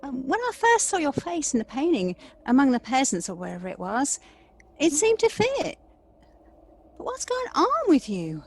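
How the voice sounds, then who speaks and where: Audio play: actress as anxious, emotional sister, high and very animated, noisy background. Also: female voice, moderate pace, exaggerated voice. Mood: worried. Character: anxious, needy, jealous sister Abby, emotional.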